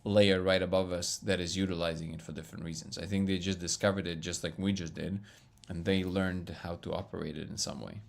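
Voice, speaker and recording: A man hosting a casual live stream, reading viewer comments and answering them in an excitable, loud, booming voice, laughing often. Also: fairly quick, creaky, hardly any pauses. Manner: excitable, laughs often, reads chat aloud. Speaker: livestream host answering chat.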